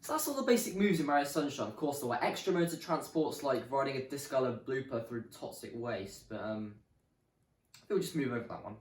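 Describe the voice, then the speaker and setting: Animated man presenting, roomy distant sound. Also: mid-range voice, a little fast. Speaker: presenter of a video game review. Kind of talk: scripted presenting to viewers. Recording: roomy, distant mic, music behind.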